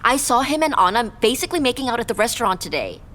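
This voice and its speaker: Actress as anxious young woman on a phone call, blunt, profane, rushed. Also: fairly high pitch, very animated, fairly bright tone.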